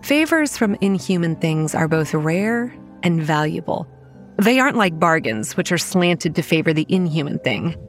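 An actress playing a wary, anxious young campground manager who narrates a horror audio drama in the first person. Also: female voice, on the low side, big pitch swings, moderate pace, soft background music. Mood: wary narration.

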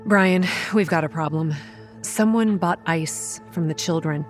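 Faint music sits behind an actress as a young female campground manager in a horror audio drama. Her voice is low and measured, telling eerie things in a pragmatic, wry, matter-of-fact way. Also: close to an everyday voice. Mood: worried, businesslike. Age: young adult. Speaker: narrator and lead character. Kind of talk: first-person scripted horror narration and dialogue.

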